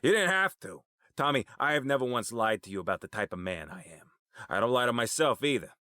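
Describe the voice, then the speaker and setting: Male actor as proud crime boss, indignant, emphatic, menacing, hard bright edge, audio drama. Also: mid-range voice, lively intonation, fairly quick, no room sound, studio quality. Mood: bitter menace.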